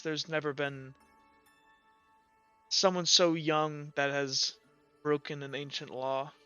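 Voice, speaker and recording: A man voicing a sullen woman guard captain in a tabletop game, gentle with youngsters and halting in speech. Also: on the high side, flat delivery, smooth, no room sound, lo-fi sound, soft background music. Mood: downcast, hesitant. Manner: hesitant, halting.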